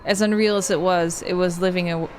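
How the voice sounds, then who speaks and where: A woman's voice: on the low side, steady tone, brisk, darkish tone, slight background noise, lightly acted. A woman playing an earnest horror-game protagonist, reading the narration in a dramatic storytelling manner. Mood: tense dread.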